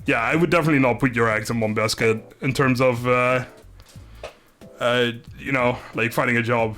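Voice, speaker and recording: A man in his thirties from the Netherlands, chatting casually with livestream viewers in a rambling, laid-back, low-energy way with mild swearing. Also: a bit bright. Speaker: live streamer. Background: music.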